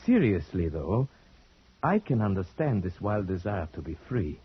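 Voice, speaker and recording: A dull old radio recording of a suave Slavic gentleman with a low voice. He speaks formally and deliberately, courtly and brooding, with faint noise around him. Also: sing-song. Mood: earnest, musing. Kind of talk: old-time radio drama acting.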